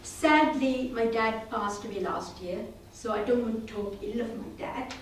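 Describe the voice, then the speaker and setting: A woman's voice: a little high, measured pace, slight background noise. A woman from Sri Lanka giving a prepared club speech, telling her story in an earnest, self-deprecating way. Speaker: club speaker. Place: meeting room. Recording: roomy hall, mic at a distance.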